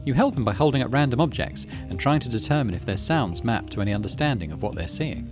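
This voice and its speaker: A man's voice: mid-range voice, animated, fast talker, over music. A man doing let's play commentary, reading story text aloud and muttering through his decisions as he thinks aloud. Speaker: game streamer, let's play host.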